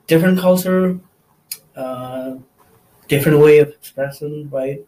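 A man's voice: fairly high pitch, a little slow, dark tone, rough recording. Nepali-speaking man, hesitant interview answers. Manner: hesitant, many fillers, thoughtful. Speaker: interviewee, college student.

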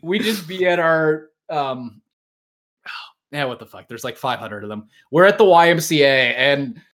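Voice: mid-range voice, lively intonation, measured pace, a bit bright. A podcast host chatting casually about anime and visual novels, opinionated, joking and swearing freely.

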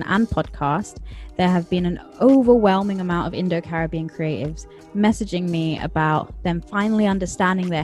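A woman with a London accent hosting a podcast interview, speaking in a measured, thoughtful way with some hesitant fillers. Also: on the low side, darkish tone. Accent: London English. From England. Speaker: podcast host.

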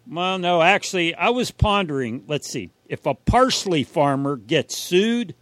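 A man's voice: on the high side, expressive, unhurried, a bit bright, no echo. A man co-hosting a radio show in bantering chat, a folksy jokester with a hesitant delivery.

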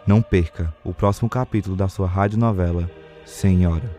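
Man, Brazilian accent, very low pitch, scripted radio drama narration, faint music behind. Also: fairly even intonation, brisk.

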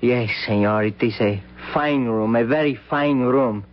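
A man acting in an old-time radio drama as a simple, childlike manservant with a Spanish accent, halting and repetitive, fearful and servile with a cruel streak. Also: medium pitch, background noise, natural voice. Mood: dreamy, servile. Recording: dull old radio broadcast recording.

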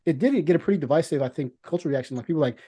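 A man hosting a casual film discussion in a rambling, halting way, often restarting his sentences. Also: a little high, lively intonation, warm tone, slight background noise. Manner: profane. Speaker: podcast host.

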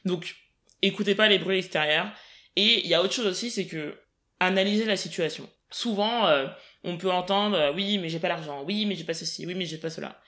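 A young woman from the Paris region in casual podcast conversation, emphatic and quick, often laughing. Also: fairly low pitch, a bit bright. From Paris region, France.

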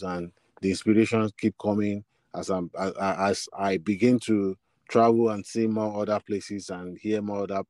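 A man's voice: lowish voice, warm tone, no echo. A man with a Nigerian accent answering as a musician guest in an interview, hesitant and often repeating words as he looks for his phrasing. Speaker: musician guest, bassist and singer. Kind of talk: interview answers, personal storytelling.

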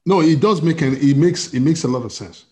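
A man's voice: a little high, brisk, dark tone. A male pastor leading an interactive sermon over a video call, talking with many repeated restarts and emphatic rising bursts.

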